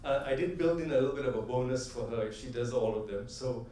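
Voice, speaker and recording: A man's voice: medium pitch, fast, warm tone, slight background noise. A middle-aged man giving a conversational conference talk full of anecdotes, often tagging his points with "right?" and building to rhythmic, emphatic punchlines. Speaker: convention speaker. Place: large convention hall. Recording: echoey hall, voice from a distant mic.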